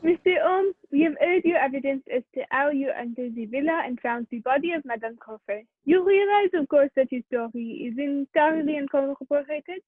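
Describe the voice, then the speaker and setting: A woman's voice: sits high, brisk, few pauses, no room sound, natural voice. A woman playing a formal, stern French prefect of police questioning witnesses in an amateur radio play. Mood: formal, probing. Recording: thin, narrow phone-like sound.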